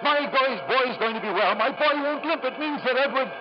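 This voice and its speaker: A man's voice: extremely high pitch, moderate pace, noisy background. An actor playing an ambitious, scheming father in 1950s radio drama, persuasive and theatrical, on an old broadcast recording. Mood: overjoyed. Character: ambitious, scheming father, smooth-talking and desperately gambling. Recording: dull lo-fi vintage radio broadcast.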